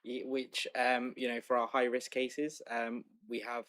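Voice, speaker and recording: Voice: mid-range voice, flat delivery, measured pace, a bit bright, dry recording. A councillor answering at a meeting, hesitant and heavy on fillers.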